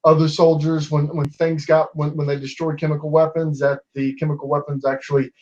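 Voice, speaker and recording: Halting young man debating, lo-fi audio. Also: on the high side, level tone, darkish tone. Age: 20s. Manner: halting, many restarts and fillers. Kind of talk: casual online debate.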